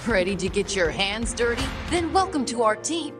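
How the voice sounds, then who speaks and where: A woman's voice: on the high side, big pitch swings, pitched above the performer's own voice. A performer voicing a confident woman manager who welcomes new staff in a scripted game cutscene. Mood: upbeat. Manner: brisk, upbeat corporate delivery. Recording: music bed under voice.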